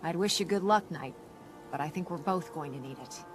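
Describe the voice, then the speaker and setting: Low-voiced brash woman engineer acted, music behind. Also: a little fast, lightly acted, rough recording. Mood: businesslike, wry. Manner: blunt, sardonic, businesslike. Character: brash, wry female military engineer, sharp and confident. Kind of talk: video game cutscene acting. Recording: game audio under background music.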